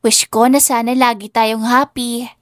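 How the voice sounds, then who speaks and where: A woman's voice: fairly high pitch, level tone, unhurried, no echo, studio quality, lightly acted. A woman voicing a sweet, cheerful six-year-old girl in an eager, childlike way, in an audiobook story. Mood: sweet, curious.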